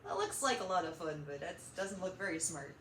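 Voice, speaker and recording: A woman hosting a children's storytime, reading and chatting in an animated, encouraging way straight to young listeners. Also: lowish voice, brisk. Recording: roomy echo, slight background noise.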